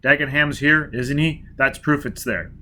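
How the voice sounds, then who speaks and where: A man voicing a brutal, driven spacer who barks clipped, loud orders in an audiobook reading. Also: a little high, a little slow, a little hiss or hum. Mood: urgent.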